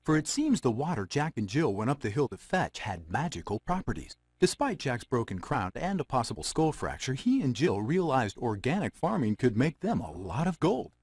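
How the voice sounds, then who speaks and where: Husky, animated storybook narrator. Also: male voice, medium pitch, normal speed, hardly any pauses, dry recording, clean studio sound. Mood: amused. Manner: conversational, sardonic asides. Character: wisecracking, chatty male fairy-tale storyteller.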